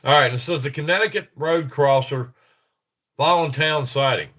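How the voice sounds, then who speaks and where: A man's voice: on the high side, normal speed, a bit bright. Stuffed-up middle-aged guy hosting a livestream, rambly, chuckles a lot, keeps restarting sentences. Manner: rambling, chuckling, self-interrupting. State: congested, stuffy nose. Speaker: livestream host. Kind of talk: casual livestream chat, reading reports aloud.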